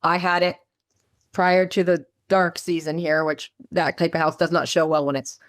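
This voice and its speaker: Woman agent, chatty storyteller, lower pitch, dry close sound, video call. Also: moderate pace, no echo. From Maryland, USA. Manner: chatty, animated storyteller, lots of fillers and asides. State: low morning voice. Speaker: real estate agent. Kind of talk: casual meeting chat on a video call.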